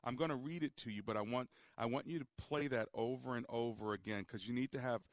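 A man hosting a call-in radio show, speaking calmly and deliberately as he guides a caller. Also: mid-range voice, no room sound, studio quality. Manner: calm, deliberate, guiding. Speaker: radio talk show host. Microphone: studio microphone.

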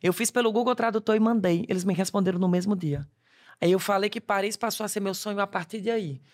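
A man's voice: sits high, fast. A man in his twenties from Ceará with a Cearense accent, telling stories as a guest in a casual chat. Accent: Cearense Brazilian Portuguese. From Ceará, Brazil. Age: 20s. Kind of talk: casual conversation.

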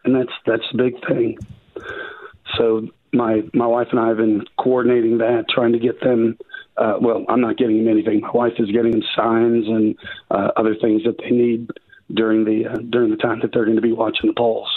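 A man with a raspy, husky voice that has gone gravelly from illness. He speaks over a thin, phone-like line as a call-in guest. He restarts his sentences often and talks in a halting way. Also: mid-range voice, completely flat delivery. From Arkansas. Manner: halting, many restarts and fillers. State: raspy voice after covid. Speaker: judicial candidate, phone guest.

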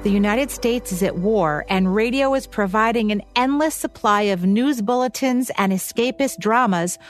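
A woman's voice: mid-range voice, moderate pace. A woman co-hosting a radio history podcast, reading scripted narration in a polished, presentational way. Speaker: podcast co-host.

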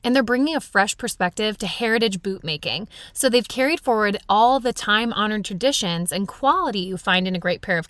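A woman's voice: medium pitch, fairly bright tone, no echo. A woman presenting an advertisement in a conversational, personal-endorsement style. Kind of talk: conversational host-read advertisement.

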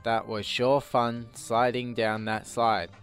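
A man acts out an earnest instructional video host from a cheesy tape. He is slow-witted and over-explains in repetitive loops. Guitar music plays behind his mid-pitched voice. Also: little pitch movement. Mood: earnest, upbeat.

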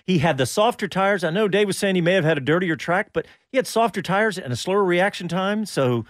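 A man's voice: high voice, animated, brisk, no room sound, clean studio sound. A man hosting a casual motor racing talk show, chatting with his co-hosts and reading listener comments. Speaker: radio show host.

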